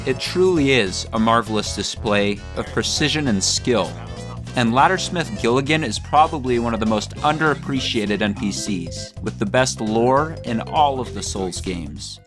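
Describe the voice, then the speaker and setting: A male host delivers comedic scripted commentary at a middling pitch. His delivery is mock-grandiose and tongue-in-cheek, and light music plays behind him. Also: expressive, normal speed. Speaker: video essay host.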